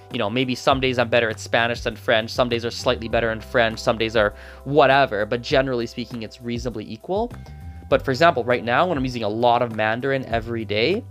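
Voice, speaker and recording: A man's voice: mid-range voice, fairly bright tone. A man whose first language is Gujarati, talking through his thoughts in a casual, rambling monologue full of restarts and asides. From Canada. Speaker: polyglot vlogger.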